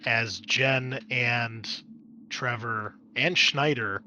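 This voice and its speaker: A man's voice: medium pitch, drawn-out words, pushed and bright, slight background noise. A man narrating a tabletop roleplaying game as game master, moving between dramatic scene-setting and casual asides.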